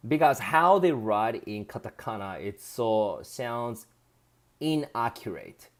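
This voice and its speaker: A man's voice: mid-range voice, sing-song, slow, slight background noise. A man with a Japanese accent hosting a casual live stream, reading viewer comments aloud and laughing often as he chats.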